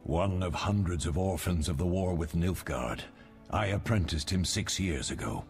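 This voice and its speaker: An actor playing a wise, fatherly older mentor of monster hunters in a video game, measured and instructive. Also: low-pitched, soft background music. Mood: reflective.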